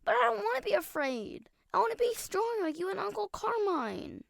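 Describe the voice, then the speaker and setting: A woman's voice: extremely high pitch, sing-song, moderate pace, vocal fry, no room sound, clean studio sound, heavily acted. A woman playing an anxious, polite and earnest young boy in an audio drama, speaking hesitantly like a child. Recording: music under the voice.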